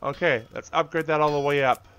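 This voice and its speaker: A man narrates his own video game in a bright, slightly high voice. He is casual and offhand, often muttering to himself. The recording is a home setup with some noise behind him. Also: steady tone, a little fast, dull audio. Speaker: gamer host narrating own play. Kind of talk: casual gameplay commentary.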